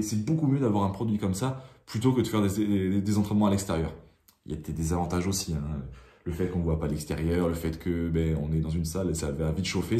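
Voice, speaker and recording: A man's voice: low voice, dark tone. A young man in his twenties giving a casual, hesitant tour of his small home gym, talking to a phone camera held at arm's length. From France. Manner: chatty, hesitant, many restarts and fillers. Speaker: triathlon vlogger. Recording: echoey small room.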